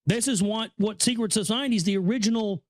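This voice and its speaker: A man's voice: sits high, brisk, dry recording. A man giving a casual running commentary on a book he is reading aloud, rambling and stammering, repeating phrases with earnest awe. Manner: awed, earnest. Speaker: reader and commentator.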